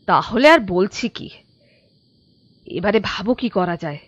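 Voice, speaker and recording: A woman's voice: medium pitch, lively intonation, a little slow, no room sound. A woman playing an anxious, nagging character in an audio story, on slightly muffled audio. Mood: anxious, urgent. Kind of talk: Bengali audio story acting.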